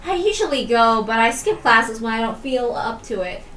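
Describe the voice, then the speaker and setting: A woman's voice: fairly high pitch, lively intonation, moderate pace, fairly bright tone, soft background music. A woman voicing a brash, teasing, independent young twin sister from a visual novel. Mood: casual, bored. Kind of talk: visual novel character reading. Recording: roomy, distant mic with faint noise.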